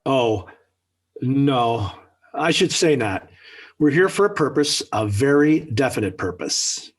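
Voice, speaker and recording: Husky, animated 1940s radio dad. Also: male voice, medium pitch, slow pace. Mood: firm, presiding. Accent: American. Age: middle-aged. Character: genial, talkative suburban father trying to preside. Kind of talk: 1940s radio sitcom acting. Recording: slightly rough 1940s radio recording.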